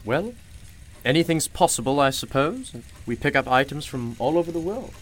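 A man's voice: on the high side, big pitch swings, moderate pace, a little hiss or hum. A man playing a genial, knowing, mysterious shopkeeper in a radio play, with a courtly, theatrical and cryptic manner. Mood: nonchalant.

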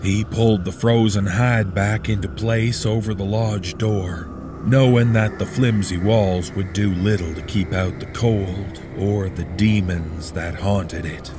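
A man narrating a horror story in a measured, grave, ominous delivery. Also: fairly low pitch, steady tone, noisy background. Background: background music.

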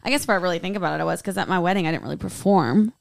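A woman podcast co-host chatting casually and telling stories in an animated way, with quoted asides. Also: fairly low pitch, very fast, gravelly, no echo. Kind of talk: casual chat recap.

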